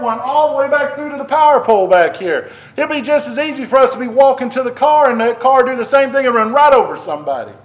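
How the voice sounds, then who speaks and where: A man preaching a conversational sermon into a microphone, restarting phrases and pressing questions at his listeners. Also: very high-pitched. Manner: conversational, repeats and restarts, rising emphasis on questions. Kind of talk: sermon, Bible teaching. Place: church building.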